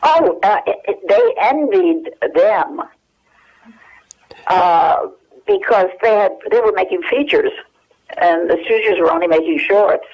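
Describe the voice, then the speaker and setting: An American woman in her eighties reminiscing casually and haltingly as an interview guest over the telephone. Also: medium pitch, expressive. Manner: halting, searching for memories. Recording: dull, lo-fi telephone hook-up.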